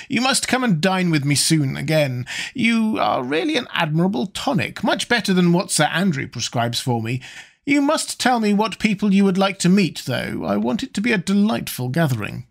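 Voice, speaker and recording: A man's voice: sits high, sing-song, normal speed, creaky, pitched above the performer's own voice, heavily acted. A man putting on a gushing elderly society hostess. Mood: bossy. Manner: gushing, bossy.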